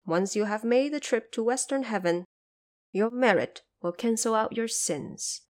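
A woman voicing a serene, merciful goddess who speaks formally and with calm authority in an audio drama. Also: fairly high pitch, lightly acted. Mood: solemn promise. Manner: formal, measured, assured. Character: serene, merciful female Bodhisattva, authoritative divine being.

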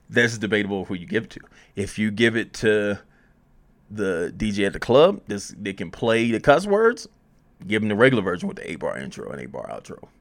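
Low-voiced man giving casual, emphatic advice. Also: big pitch swings, normal speed, creaky. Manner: informal, repeats phrases for emphasis. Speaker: music promotion advice presenter. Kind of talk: casual conversational advice talk.

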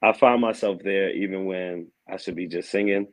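A man's voice: deep voice, fairly even intonation, normal speed. A man in his thirties, a vocal coach and assistant pastor, chatting easily as a guest and often saying "man". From Aberdeen, Maryland. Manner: easygoing, laughs often, says "man" a lot. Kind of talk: casual podcast chat.

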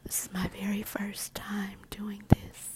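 A middle-aged woman hosting a podcast, talking in a soft, soothing ASMR whisper and repeating words as they fade away. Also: medium pitch, monotone, a little slow. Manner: soft unvoiced whisper throughout. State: nervous. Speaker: podcast host.

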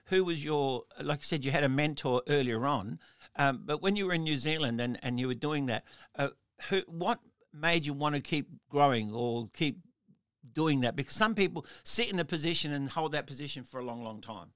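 A man's voice: a little high, normal speed, fairly bright tone, clean tone, no echo, clean studio sound. A man hosting a casual interview, asking rambling questions full of restarts and little laughs. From Australia. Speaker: interview host.

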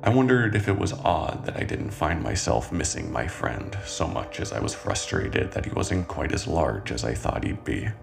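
A man playing a calm, brooding cannibal killer in a scripted horror monologue, speaking in a measured, deliberate way with dramatic pauses and a gravelly voice. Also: very deep voice, exaggerated voice. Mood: calm, wistful. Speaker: writer and narrator of a horror fiction podcast. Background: music soundtrack.

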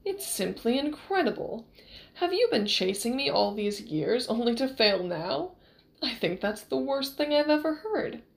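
A woman's voice: a little high, animated, normal speed, vocal fry, hardly any pauses. A woman voicing a cruel, condescending nobleman who savours his words with a sneer. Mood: gloating.

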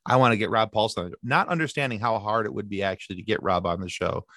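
A man guesting on a podcast, telling stories in a lively chatty way with quick asides and restarts. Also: on the low side, dry recording, studio quality. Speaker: podcast guest, sci-fi podcast co-host. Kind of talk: casual interview chat.

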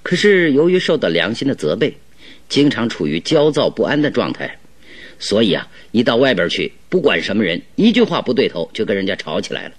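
A man's voice: lowish voice, sing-song, a little fast, slightly rough. A man acting a shrewd, self-assured detective in a radio drama, reasoning aloud in an expansive, conversational way. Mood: reasoning, animated.

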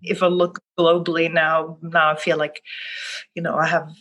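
A woman's voice: deep voice, little pitch movement, normal speed, low-quality recording. A middle-aged woman from Sweden, a life coach whose first language is Swedish, talking thoughtfully about her path in an interview with many restarts. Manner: soft-spoken, thoughtful, with frequent restarts. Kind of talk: reflective interview answer.

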